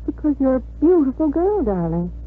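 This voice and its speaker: An actress playing a worldly, self-satisfied middle-aged mother in a 1950s radio drama, brisk and theatrical in manner. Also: sits high, sing-song, narrow, tinny audio. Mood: reassuring, insistent. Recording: thin 1950s radio recording.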